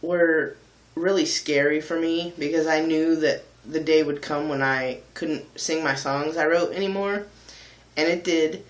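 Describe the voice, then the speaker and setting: A man's voice: a little high, steady tone. Candid man, light voice, echoey room. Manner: candid, thoughtful, many ums and pauses. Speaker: vlogger and singer. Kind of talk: casual reflective vlog talk.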